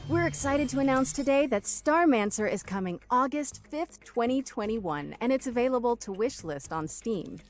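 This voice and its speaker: A woman's voice: a little high, animated, moderate pace, few pauses, soft background music. A woman hosting a showcase, presenting from a script with comic banter. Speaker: showcase host.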